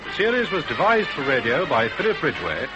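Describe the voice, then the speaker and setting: A man's voice: on the high side, big pitch swings, brisk, highs cut off, over music. A man working as a radio announcer, reading scripted credits in an old broadcast style.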